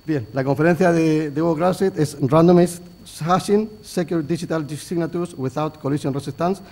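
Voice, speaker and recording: Halting host introducing a speaker. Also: male voice, fairly high pitch, gravelly, darkish tone. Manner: halting, many fillers and restarts. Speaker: event host. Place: lecture hall.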